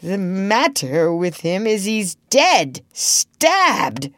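A woman's voice: medium pitch, sing-song, drawn-out words, fairly bright tone, no echo, clean studio sound, pitched above the performer's own voice, full character voice. A woman voicing a frightened male pirate in a storybook reading, hollow and gibbering with fear. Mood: hollow dread. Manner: gibbering, fearful. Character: terrified Italian male pirate, haggard and gibbering. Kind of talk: storybook narration character voice.

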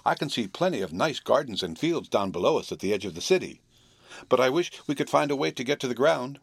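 A man's voice: mid-range voice, very animated, quick pace, creaky, slightly rough, no room sound, pitched above the performer's own voice, full character voice. A man voicing an earnest, curious little girl in a children's storybook. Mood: hopeful.

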